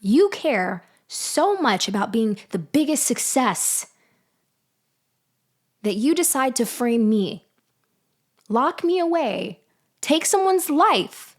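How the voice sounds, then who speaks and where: A woman playing a betrayed, vengeful ex-girlfriend in an audio roleplay monologue, taunting with deliberate, condescending delivery. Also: a little high, big pitch swings, exaggerated voice. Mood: angry, accusing. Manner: slow, deliberate, condescending, with sighs and pauses. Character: betrayed, vengeful ex-girlfriend confronting her framer, coolly taunting. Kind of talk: girlfriend ASMR-style dramatic roleplay monologue.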